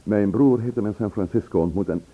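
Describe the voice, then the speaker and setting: A man acting in a Dutch radio play as a composed, probing gentleman investigator who speaks with measured care. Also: on the low side, slightly rough. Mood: businesslike. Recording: muffled narrow-band old radio recording.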